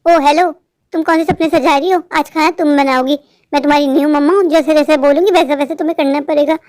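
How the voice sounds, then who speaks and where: A woman's voice: high-pitched, steady tone, fast talker, a little mellow, close to an everyday voice. A woman playing a scheming young stepmother in a melodramatic Hindi cartoon, haughty and commanding beneath false sweetness. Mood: scornful, bossy.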